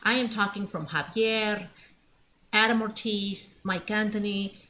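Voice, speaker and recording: A woman's voice: mid-range voice, steady tone, measured pace, bright, forceful tone, slight background noise. A middle-aged woman from Mexico who grew up in Alaska, talking in an emphatic, motivational way as a talk-show guest, repeating phrases and asking rhetorical questions. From born in Mexico, grew up in Alaska. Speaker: guest, business owner. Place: at her home, on a podcast set.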